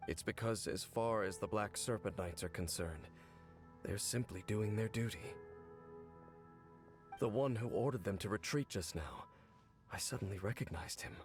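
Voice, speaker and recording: A man voicing a solemn, world-weary knight in a video game, speaking in a measured, brooding way. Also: on the low side, faint music behind, lightly acted. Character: grave, world-weary male knight, former royal guard commander. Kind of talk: video game character acting.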